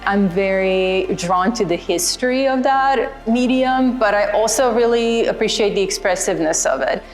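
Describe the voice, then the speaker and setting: A woman's voice: mid-range voice, normal speed, soft background music, low-quality recording. A woman from Croatia, an artist speaking thoughtfully and earnestly about her printmaking in a documentary interview. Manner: thoughtful, earnest, explains with care.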